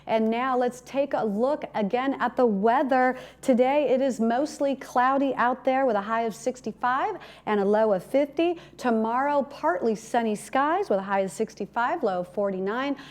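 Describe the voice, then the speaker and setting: A woman's voice: fairly high pitch, normal speed, hardly any pauses. An American woman working as a TV host, chatting with guests and presenting local announcements. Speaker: TV show host.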